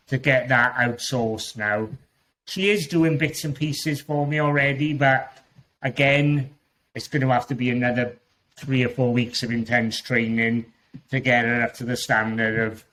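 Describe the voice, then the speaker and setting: A man's voice: medium pitch, a bit bright, poor audio. A man with a strong Welsh accent, a guest talking casually in a podcast interview, chatty and rambling, with frequent restarts. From Wales. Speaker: guest Amazon seller.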